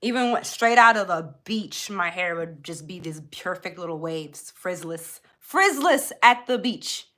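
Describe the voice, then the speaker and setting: A woman's voice: fairly low pitch, expressive, measured pace, few pauses, sharp tone. Chatty woman hosting a casual livestream. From born in Jamaica, grew up in Florida, US. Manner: informal, chatty, addresses live viewers directly. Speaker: beauty livestream host. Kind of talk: casual chatty live hair tutorial.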